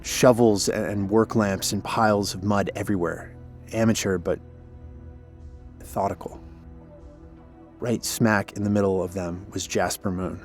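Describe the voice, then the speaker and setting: An actor playing a guilt-ridden, defensive former park warden in an audio drama, telling things in a weary, halting way. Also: male voice, fairly low pitch, steady tone, faint music behind. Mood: somber, haunted. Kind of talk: cinematic audio drama acting, naturalistic.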